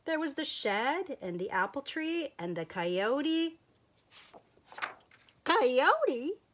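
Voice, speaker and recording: Expressive woman reading a children's book. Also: sits high, drawn-out words, a little hiss or hum. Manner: expressive, playful read-aloud voice. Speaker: storyteller teacher.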